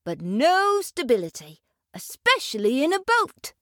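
A woman reading a storybook aloud, voicing a jovial, restless male otter who speaks quickly and breezily. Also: sits high, wildly swooping pitch, no room sound, clean studio sound, voice pushed up, full character voice. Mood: amused. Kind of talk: storybook voice acting.

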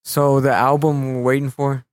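A young rapper guest talking easily in a relaxed interview, full of casual slang, his sentences often sinking quietly at the end. His voice sits at an ordinary man's pitch. The sound is close and dry, with no room around it. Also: moderate pace, dry recording. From Mexican heritage. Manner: laid-back, slangy, trails off softly at phrase ends.

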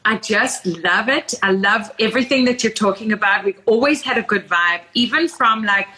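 Bright-voiced South African woman. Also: mid-range voice, moderate pace, low-quality recording. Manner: enthusiastic, chatty storytelling. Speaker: podcast host. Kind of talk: casual podcast conversation.

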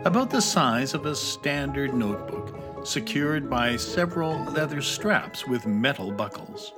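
A man's voice: medium pitch, animated, unhurried, over music. A man narrating a scripted children's fantasy adventure story in storybook style.

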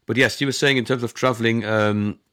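A man's voice: medium pitch, fairly even intonation, fairly quick, fairly bright tone, no room sound, studio quality. A man whose first language is German, in his forties, talking as an interview guest about his work as a conference interpreter, with many ums and restarts. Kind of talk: conversational interview.

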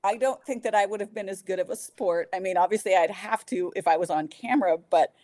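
A woman's voice: medium pitch, brisk, dark tone, no room sound. Enthusiastic woman chatting casually, dry close sound. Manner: enthusiastic, informal. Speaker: sports public address announcer, podcast guest. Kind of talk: casual podcast chat.

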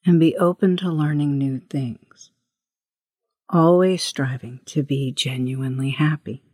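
A woman leading a guided meditation as host, with a hushed, breathy voice and gentle, unhurried instructions. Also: low voice, steady tone, no echo, studio quality. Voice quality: breathy, husky, often near whisper.

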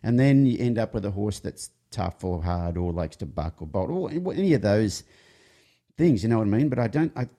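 Guy w a low gravelly dark voice, rambly storyteller, keeps restarting. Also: lively intonation, normal speed. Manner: rambling, self-interrupting, jokey anecdotes. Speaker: horse trainer and show host. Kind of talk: casual conversational storytelling.

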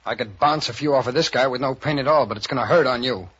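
Husky, terse noir gunman. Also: medium pitch, quick pace, a bit bright. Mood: regretful. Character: tough, regretful gunman betraying his old friend. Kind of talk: 1940s radio noir acting. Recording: dull old-time radio recording.

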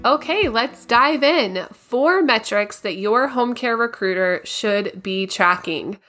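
A woman hosting a solo podcast, a little raspy and hoarse from a cold, explaining things in a practical, step-by-step way. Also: on the high side, animated, slow, pushed and bright, faint music behind. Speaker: podcast host.

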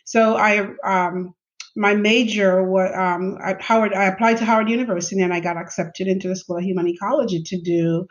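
A middle-aged woman telling her life story in a chatty, lively way, with plenty of ums and easy laughter. Her pitch sits in the middle of the range. The recording is a little dull and lo-fi. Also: fairly quick. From Jamaica. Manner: animated, chatty, laughs often, many fillers. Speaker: guest, chief information officer. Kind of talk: conversational interview storytelling. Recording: slightly dull lo-fi audio.

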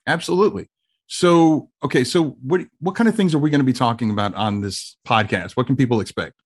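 A man's voice: medium pitch, fairly quick, breathy edge. A man co-hosting a podcast, upbeat and casual, asking questions with plenty of ums. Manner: upbeat, leads with questions, says um a lot. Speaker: podcast co-host. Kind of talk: casual conversational podcast chat.

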